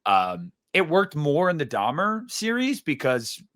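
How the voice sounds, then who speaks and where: A man co-hosting a podcast, reasoning through his opinions in a casual, measured way and sometimes voicing hypothetical quotes. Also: on the high side, huge pitch swings, fairly bright tone. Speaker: podcast co-host.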